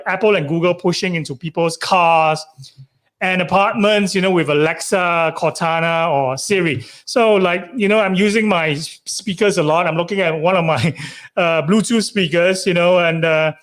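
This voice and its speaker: A man. He hosts an interview show, building long conversational questions in a halting, wordy way with many small tag phrases. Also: sits high, fairly bright tone. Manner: halting, wordy, often tagging phrases with "you know" and "right". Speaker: podcast host interviewing a guest.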